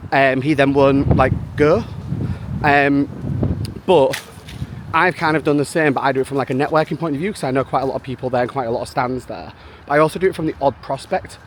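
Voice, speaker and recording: A man's voice: medium pitch, a little hiss or hum. A man from Manchester, a business founder chatting casually to camera in a rambling way, full of "um" and "like". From Manchester, England. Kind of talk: casual vlog chat.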